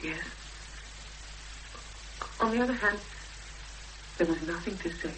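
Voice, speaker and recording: An actress playing a gentle, anxious young woman, hesitant and pleading in a 1970s radio drama. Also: on the low side, measured pace. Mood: troubled, reflective. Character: anxious, gentle young woman investigating her sister's disappearance. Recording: noisy old radio broadcast, hum and crackle.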